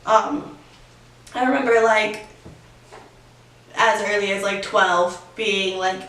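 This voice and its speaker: A woman's voice: mid-range voice, steady tone, slow pace, fairly bright tone. A twenty-one-year-old woman from Ohio answers frankly and gets straight to the point. She sounds far from the mic. The room has a strong echo. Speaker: interviewee.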